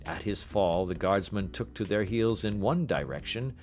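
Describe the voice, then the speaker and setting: A man reads scripted literary fiction as an audiobook narrator. His voice is fairly low and very animated in pitch, with measured, expressive storytelling. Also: soft background music.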